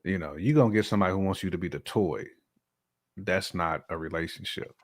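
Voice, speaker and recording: A man's voice: deep voice, brisk. An American man co-hosting a casual, opinionated chat, speaking bluntly in slang and repeating phrases for emphasis. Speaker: podcast co-host.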